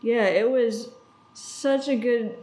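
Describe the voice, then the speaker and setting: A woman's voice: a little high, takes it slowly, mellow, low-quality recording. A woman co-hosting a podcast, chatting casually and informally. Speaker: podcast co-host. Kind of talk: casual conversational podcast chat.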